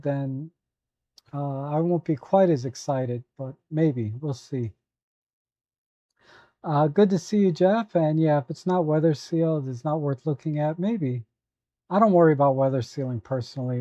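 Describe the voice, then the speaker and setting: A hoarse man hosting a live photo editing tutorial, talking at an easy, halting pace with many fillers while answering viewers. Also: a little high, dark tone, no echo, muffled recording. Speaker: photo editing livestream host.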